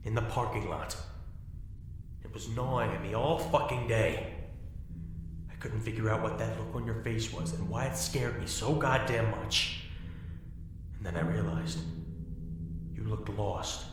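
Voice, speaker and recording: An actor in an audio drama playing a bitter, self-loathing, foul-mouthed apocalypse survivor, curt and sardonic. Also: male voice, lowish voice, measured pace, long pauses, noisy background, lightly acted. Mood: anguished. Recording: very echoey room with music underneath.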